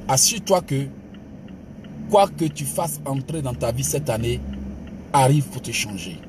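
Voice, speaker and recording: A man's voice: medium pitch, expressive, measured pace, background noise. A man preaching a motivational sermon as pastor, with an emphatic, exhorting manner. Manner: emphatic preacher, calls listeners "bien aimé", mixes exhortation with laughs.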